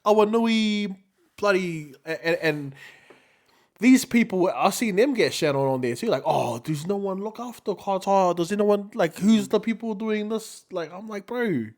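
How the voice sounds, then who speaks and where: A man's voice: high voice, animated, a little slow, darkish tone. A man with a New Zealand accent co-hosting a casual podcast, talking in a slangy, laid-back way and often repeating himself. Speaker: podcast co-host.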